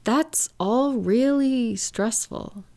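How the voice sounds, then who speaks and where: A woman acting a gentle, caring partner in an intimate comfort roleplay, speaking in a tender, unhurried, reassuring way. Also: on the high side. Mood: sympathetic, soft. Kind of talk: roleplay comfort audio, intimate one-sided conversation.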